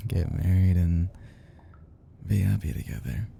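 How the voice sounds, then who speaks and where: A man acting a dark, soft-voiced obsessive young lover. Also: deep voice, lively intonation, slight background noise, full character voice. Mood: relieved, devoted. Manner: halting, stammering, breathy half-whispers, sighing. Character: obsessive lovesick young male yandere kidnapper, tender and fragile. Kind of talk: roleplay audio drama, ASMR.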